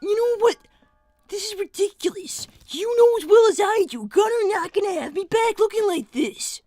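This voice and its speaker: A woman's voice: very high voice, expressive, normal speed, mellow, no echo, soft background music. A woman voicing an exasperated young fox inventor in a casual, argumentative improvised livestream roleplay. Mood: indignant.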